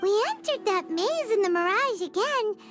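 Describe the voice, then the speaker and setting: Squeaky childlike little game companion. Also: female voice, big pitch swings, moderate pace, a bit bright, exaggerated voice. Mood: curious, chatty. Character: small high-voiced childlike companion, curious and chatty. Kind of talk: video game character acting. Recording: music playing behind the voice. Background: music.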